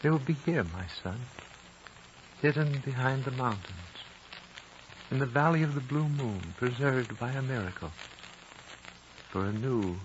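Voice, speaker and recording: An actor playing an ancient, wise and dying high lama in a 1950s radio drama, solemn, fatherly and measured. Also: lively intonation, natural voice. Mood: grave, prophetic. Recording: dull, noisy old radio recording.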